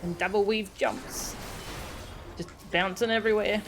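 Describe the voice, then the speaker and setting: A woman giving casual, expressive live commentary as she streams a game, reacting with playful indignation. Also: mid-range voice, unhurried. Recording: game music behind the voice. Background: game music and character voice lines.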